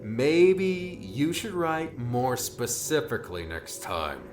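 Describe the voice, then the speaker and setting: A man's voice: mid-range voice, very animated, slow pace, soft background music, pitched above the performer's own voice. A man voicing an earnest young male student who speaks plainly and questioningly, a little exasperated, in an audiobook.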